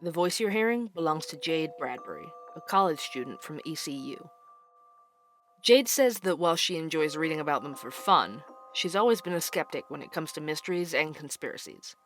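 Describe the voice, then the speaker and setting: Male investigative podcast host: earnest, deliberate dramatic narration for an urban fantasy audio drama, music underneath. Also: fairly high pitch, lively intonation, fairly bright tone. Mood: earnest, intriguing.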